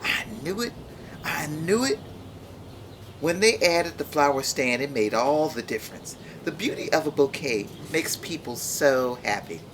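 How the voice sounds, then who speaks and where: A woman's voice: low voice, lively intonation, unhurried, slight background noise. A woman voicing a proud, touchy flower in a storybook garden, sassy and indignant. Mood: smug delight.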